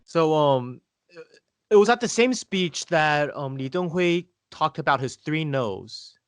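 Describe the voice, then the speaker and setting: A man hosting a podcast, explaining history in a steady way and asking his guest leading questions. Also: on the high side, slow pace, no room sound. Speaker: podcast host. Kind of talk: conversational history discussion.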